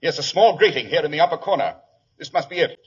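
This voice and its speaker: A muffled old radio recording of an imperious British detective. He talks very quickly in a rough, husky voice, clipped and coolly assured, in 1940s drama style. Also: on the high side, expressive. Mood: urgent, commanding.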